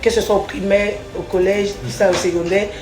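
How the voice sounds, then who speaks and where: A woman's voice: medium pitch, steady tone, a little fast, music in the background. Woman singer in an echoey room. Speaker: singer being interviewed. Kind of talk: casual interview, personal storytelling.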